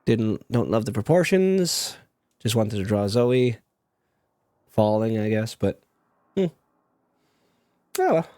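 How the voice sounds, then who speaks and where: A man giving casual, self-deprecating commentary as a video creator while drawing, in a low-key way. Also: very animated, takes it slowly, pauses often, mellow.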